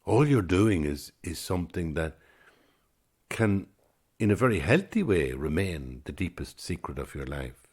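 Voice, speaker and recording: Very deep, husky man musing. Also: very animated, unhurried, a little mellow. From Ireland. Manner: musing, thoughtful, repeats words as he gathers thoughts. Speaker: podcast host. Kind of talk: reflective solo podcast talk.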